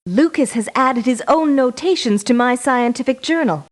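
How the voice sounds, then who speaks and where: Formal, high-voiced scientist. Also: female voice, expressive. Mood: concerned, serious. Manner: polite, formal, measured. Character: earnest, polite woman scientist, devoted to her research. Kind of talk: scripted game voice acting, briefing.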